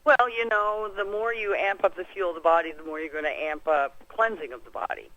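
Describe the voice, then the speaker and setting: A woman's voice: on the low side, steady tone, a little fast, a bit bright, dry recording, lo-fi sound. An American woman answering as an expert guest on a conference call, explaining things in a folksy, conversational way. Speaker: expert guest, clinic practitioner.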